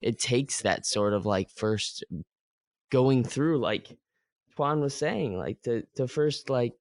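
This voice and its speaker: A man's voice: medium pitch, takes it slowly, dry recording. A young man hosting a podcast, talking casually with lots of "like" fillers. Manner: rambling, many "like" fillers. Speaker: podcast host. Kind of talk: casual interview chat.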